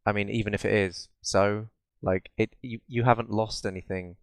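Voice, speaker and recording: A man's voice: on the low side, fairly even intonation, normal speed, gravelly, clear, resonant tone. A British man co-hosting a podcast, chatting casually about games in a sweary, sardonic, rambling way. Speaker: podcast co-host. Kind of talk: casual bantering chat about video games.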